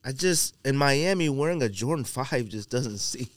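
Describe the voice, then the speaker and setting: A man's voice: mid-range voice, expressive, normal speed, no echo, studio quality. A man hosting a podcast in casual, slangy banter, giving his opinions freely. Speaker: podcast host.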